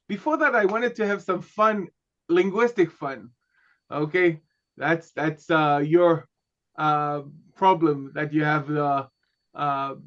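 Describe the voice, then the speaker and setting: A male teacher with a high voice takes his time, letting each phrase land slowly. He is a native Hebrew speaker and teaches in a loose, joking way. The sound is dull and muffled, like online class audio. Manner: relaxed, repeats "okay" and "right", joking asides. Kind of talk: lecturing.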